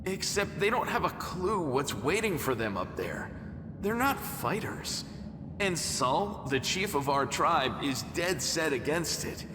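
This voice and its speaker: A man's voice: on the high side, sing-song, unhurried, noisy background. An actor playing a cocky, eager young tribesman in a video game, flippant and sardonic in manner. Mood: earnest, urgent. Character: wry young male mongrel underground tribesman, cocky and eager. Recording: echoey hall reverb. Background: background music.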